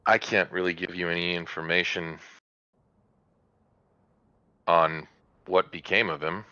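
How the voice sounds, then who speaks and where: A man voicing a hospitable, harried small-town mother in a tabletop game, candid, with halting, conversational phrasing. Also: low voice, fairly even intonation, sharp tone, clean tone, dry recording, dull audio. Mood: candid, somber.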